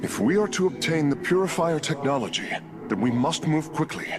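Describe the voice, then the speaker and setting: An actor playing an earnest, respectful alien engineer in a video game, speaking formally and carefully. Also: male voice, fairly high pitch, lively intonation, fairly quick, lightly acted. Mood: urgent. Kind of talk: video game cutscene acting. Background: loud music.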